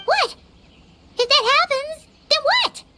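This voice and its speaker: A woman voicing a tiny, excitable childlike girl in a video game, bubbly and blunt. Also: extremely high pitch, slow, very noisy, heavily acted. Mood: alarmed.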